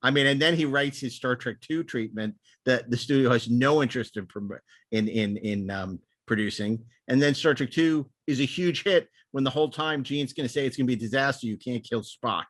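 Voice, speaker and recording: Man explaining backstory, halting and dry-miked. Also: medium pitch, fairly bright tone, no echo. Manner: halting, repeats words, emphatic storyteller. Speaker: podcast co-host. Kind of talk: casual anecdotal panel discussion, explaining history.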